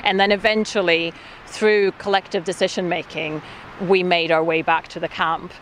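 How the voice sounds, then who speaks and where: A woman's voice: lowish voice, little pitch movement, moderate pace, a bit bright. A woman organiser explaining a protest in an earnest way, with many ums and restarts, interviewed outdoors. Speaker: activist and camp organiser. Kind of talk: interview answers. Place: outdoors at a camp. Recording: faint outdoor noise.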